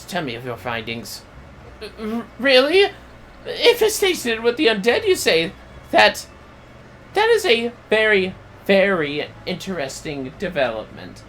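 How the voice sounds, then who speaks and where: A man voicing an excitable quest-giver in a fantasy game, stammering and theatrical. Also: fairly high pitch, slight background noise, pitched above the performer's own voice.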